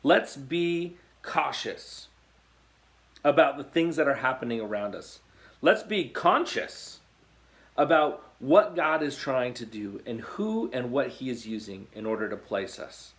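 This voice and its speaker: An American man, a youth pastor, tells a Bible story to junior high students in a conversational way, reading scripture and breaking off to comment. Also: animated, measured pace, a bit bright.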